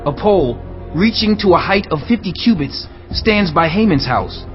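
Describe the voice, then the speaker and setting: High-pitched royal eunuch. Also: male voice, animated, normal speed. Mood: urgent informing. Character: royal eunuch attendant informing the king. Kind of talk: dramatized audio Bible acting. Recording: dull lo-fi audio under clear music.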